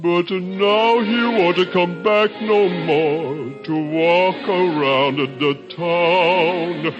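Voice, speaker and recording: An actor playing a sad organ grinder with an Italian accent, reciting haltingly in plaintive broken English in a radio drama. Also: male voice, sits high. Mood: wistful, mournful. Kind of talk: old-time radio drama, rhyming recitation over music. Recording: dull old radio recording with music. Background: loud music.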